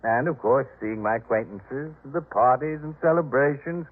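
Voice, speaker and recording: An actor playing a genial, self-satisfied, well-to-do husband in a 1950s radio drama, expansive and indulgent in manner. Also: male voice, medium pitch, moderate pace, close to an everyday voice. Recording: muffled, narrow-band 1950s radio recording.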